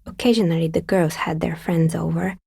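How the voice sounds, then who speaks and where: An actress voicing a dry, sceptical woman dicemaker in a video game, with a soft, breathy, husky voice and a wry, understated delivery. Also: lowish voice, fairly even intonation, fairly quick, no room sound, full character voice. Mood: sardonic. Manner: wry, understated, matter-of-fact. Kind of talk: video game character dialogue.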